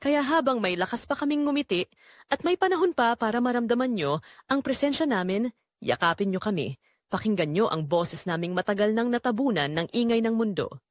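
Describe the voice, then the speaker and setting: Woman acting a sorrowful, long-suffering mother, animated pitch. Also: fairly quick, no room sound, studio quality, lightly acted. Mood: pleading, sorrowful. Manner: solemn, sorrowful, pleading storytelling. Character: long-suffering dying mother, quietly aggrieved, tender narrator. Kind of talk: dramatic first-person audio drama narration.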